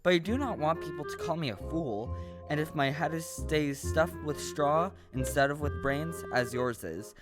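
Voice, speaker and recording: A woman's voice: low-pitched, expressive, moderate pace, over music. A woman playing a friendly, naive straw-stuffed male scarecrow, earnest and formally polite, in an audio play performed on stage. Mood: earnest, wistful. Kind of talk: stage radio play acting.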